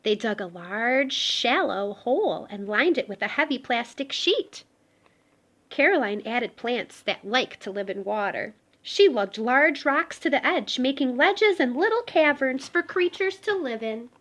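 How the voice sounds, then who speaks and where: A woman's voice: fairly high pitch, lively intonation, measured pace, fairly bright tone. A woman reading a children's picture book aloud expressively, talking directly to young listeners. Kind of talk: expressive children's storybook read-aloud with asides.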